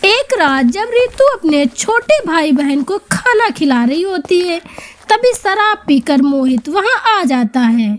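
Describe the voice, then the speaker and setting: A woman's voice: very high-pitched, sing-song, slow pace. A woman narrating a scripted story as its storyteller.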